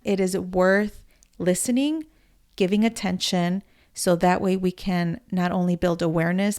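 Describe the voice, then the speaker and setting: A woman podcast host, earnest, mixing Spanish words into her English as she reads an intro and chats with a guest. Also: medium pitch. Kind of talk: scripted intro then casual interview.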